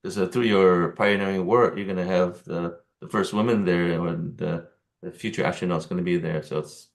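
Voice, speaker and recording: A man's voice: low voice, fairly even intonation, moderate pace, gravelly. A man hosting an interview, supportive and stumbling over words as he responds. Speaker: interview host. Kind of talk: casual interview chat.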